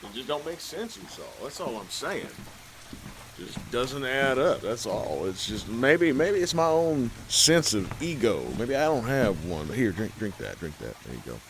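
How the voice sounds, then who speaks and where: A man hosting and narrating a horror story, folksy and unhurried, who talks straight to the listener. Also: mid-range voice, very animated, slight background noise.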